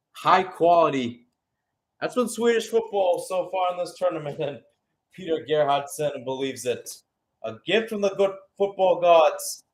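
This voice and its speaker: Higher-voiced man calling live football. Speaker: football play-by-play commentator. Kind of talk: live radio-style sports commentary.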